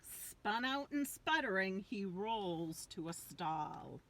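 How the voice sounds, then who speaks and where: A woman's voice: medium pitch, animated, takes it slowly, fairly bright tone. An older woman reading a picture book aloud to young children, drawing out key words with dramatic emphasis.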